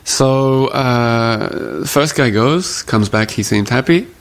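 A man's voice: medium pitch, takes it slowly. A man hosting a casual talk show, telling a story with animation and acting out what others said. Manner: animated storyteller who acts out quoted voices.

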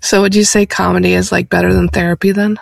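A woman's voice: lowish voice, little pitch movement, fast talker, creaky. A woman hosting an interview in a casual, informal, agreeable conversational way. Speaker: interviewer, host.

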